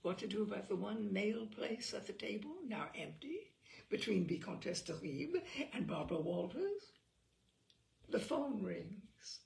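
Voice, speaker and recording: Wry woman reading with lively, gravelly voice. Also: medium pitch, measured pace. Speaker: host and reader. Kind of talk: reading a humorous essay aloud. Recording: echoey room.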